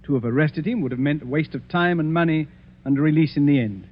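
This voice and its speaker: An actor playing an imperious, self-assured, egotistical master detective in a 1940s radio mystery, with portentous delivery. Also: male voice, on the high side, fast, natural voice. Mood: grave, deliberate. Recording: muffled, hissy vintage 1940s radio recording.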